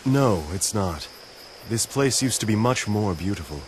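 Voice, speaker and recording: A man's voice: on the low side, animated, a little slow, slight background noise. An actor playing a solemn, reflective man in a video game cutscene.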